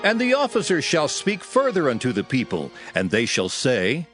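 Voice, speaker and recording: A man's voice: medium pitch, wildly swooping pitch, normal speed, fairly bright tone. A man narrating scripture in a solemn, declamatory, dramatized style. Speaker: Bible narrator. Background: music.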